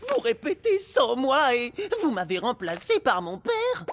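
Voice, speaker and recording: An actor voicing an excitable, easily indignant preteen amoeba boy in a French cartoon. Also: mid-range voice, a little slow, soft background music. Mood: indignant, hurt.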